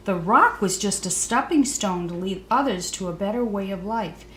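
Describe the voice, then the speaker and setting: A woman's voice: medium pitch, expressive, normal speed, fairly bright tone, natural voice. A forlorn female statue come to life in a children's storybook, played by a woman reading aloud. She speaks plaintively and with emphasis, aggrieved at being forgotten. The room is echoey, with faint noise behind. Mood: earnest. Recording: roomy echo, faint background noise.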